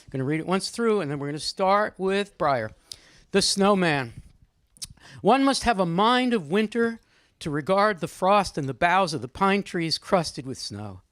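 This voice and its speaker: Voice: medium pitch, no room sound. Informal, teasing event host, dry close sound. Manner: teasing, conversational, calling on people by name. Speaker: host leading a group poem discussion. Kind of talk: informal live moderating, with some poetry reading. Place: indoor room at a live gathering.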